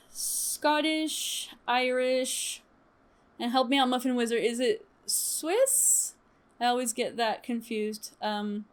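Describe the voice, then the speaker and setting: A young woman from Chile chatting casually to her stream viewers, lively and laughing often, with many fillers. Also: high voice. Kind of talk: casual storytime chat.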